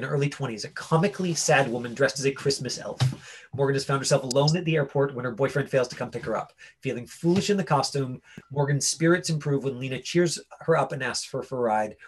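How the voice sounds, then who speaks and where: A man's voice: medium pitch, slightly rough, rough recording. A man teaching as an acting coach, informal and rambling, often checking in with his listeners as he explains and directs. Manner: rambling, checks understanding with "right?".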